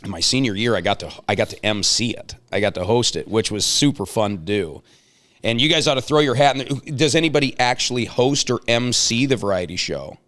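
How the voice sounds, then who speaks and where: A man's voice: mid-range voice, lively intonation, a little fast, fairly bright tone. A middle-aged man hosting a casual chat, telling anecdotes and joking as he prods his guests. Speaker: show host.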